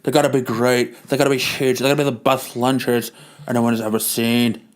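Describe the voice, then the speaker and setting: A boastful, nagging wife in a comic-book parody, acted out by a man. The voice drops low and leans hard on drawn-out superlatives, like a bombastic politician on the stump. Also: little pitch movement, lightly acted. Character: boastful nagging wife, spoken as a Donald Trump-style parody. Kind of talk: comic dramatic reading.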